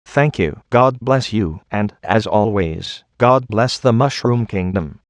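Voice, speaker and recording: A man's voice: on the low side, lively intonation, slow, no room sound, studio quality. A man playing a resolute, solemn government spokesman, giving a formal, stately scripted address to the nation. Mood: solemn, reassuring.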